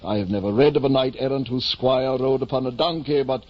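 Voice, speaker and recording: An actor playing a delusional, grandiose gentleman knight near fifty, speaking lofty archaic English in a 1950s radio drama. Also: medium pitch, a little fast, lightly acted. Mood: pompous, planning. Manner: lofty, archaic, pompous speech. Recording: muffled vintage 1950 radio broadcast.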